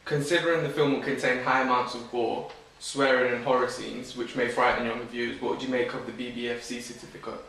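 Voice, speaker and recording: A man's voice: medium pitch, little pitch movement, quick pace, hardly any pauses, fairly bright tone. A young man puts plain, businesslike questions to a group. His voice sounds distant and swims in strong room echo, with faint ambient noise behind. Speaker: student interviewer. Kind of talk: asking interview questions. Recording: very echoey room, far from mic.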